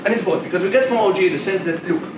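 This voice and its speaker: Halting young Israeli man debating, far off. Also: sits high. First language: Hebrew. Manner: argumentative, halting with many restarts. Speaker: competitive debater. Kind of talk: debate speech. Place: debate room. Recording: roomy echo, far from mic, noisy.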